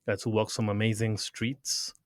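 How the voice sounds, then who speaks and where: A middle-aged man from Ecuador, a guest in a studio interview, telling his story casually in quick bursts. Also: lowish voice, flat delivery, no echo. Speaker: guest, retail consultant. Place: recording studio.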